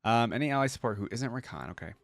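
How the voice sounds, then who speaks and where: A man's voice: medium pitch, animated, rapid-fire, vocal fry, dry recording. A man hosting a reaction video with casual, conversational commentary.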